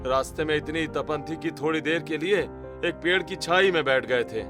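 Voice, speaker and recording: A man's voice: a little high, normal speed, music in the background, natural voice. A man voicing a poor, weary village labourer with a pleading, resigned manner in a cartoon story.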